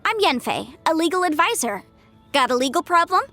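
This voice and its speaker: A woman's voice: very high voice, big pitch swings, no echo, full character voice. An actress voicing a confident young female legal advisor in a video game, articulate, chatty and businesslike. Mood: confident, polite. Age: young adult. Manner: brisk, articulate, salesy, breezy. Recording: soft game music behind.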